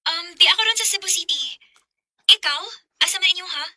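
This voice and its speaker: A coy, sweet young woman in a radio romance, with a Cebuano Bisaya accent. The voice is girlish, extremely high and very bright, recorded dry. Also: a little fast, no room sound, heavily acted. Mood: coy, friendly. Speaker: radio drama actor. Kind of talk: Bisaya radio drama acting.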